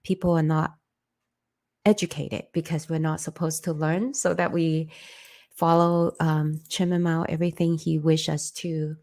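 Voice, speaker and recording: A woman's voice: deep voice, flat delivery, a little slow, darkish tone. A woman from China, speaking English as a second language, an interview guest telling her story in an earnest way. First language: Chinese. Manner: earnest, storytelling, non-native grammar. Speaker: interview guest, former candidate. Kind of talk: conversational interview.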